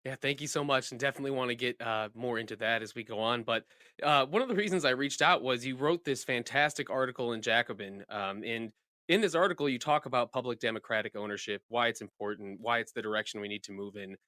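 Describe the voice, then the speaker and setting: A man hosting a podcast, brisk in manner, asking a guest questions. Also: mid-range voice, sharp tone, no room sound, clean studio sound. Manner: brisk, reads a scripted promo. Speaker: podcast host. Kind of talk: interview hosting.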